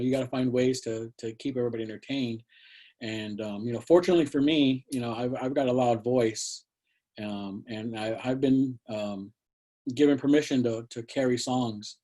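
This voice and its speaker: A middle-aged man speaks over a video call from a small studio shed. His tone is mellow and unhurried, and he wanders through his thoughts with plenty of fillers and restarts. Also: mid-range voice. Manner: rambling, many fillers and restarts. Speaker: guest artist. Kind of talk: casual conversational talk.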